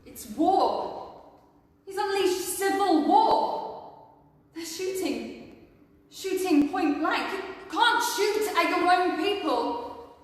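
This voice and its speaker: An actress playing a public leader making an urgent, pleading appeal. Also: female voice, extremely high pitch, expressive, very slow, long pauses, heavily acted. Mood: alarmed. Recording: echoey hall from far off.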